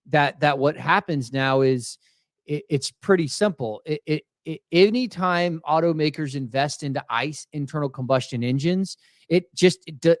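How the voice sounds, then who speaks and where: A man from Texas co-hosting a casual automotive industry podcast, talking in an animated way with frequent restarts. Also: fairly high pitch, no echo, studio quality. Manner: animated, many restarts, acts out quoted voices. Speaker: podcast co-host.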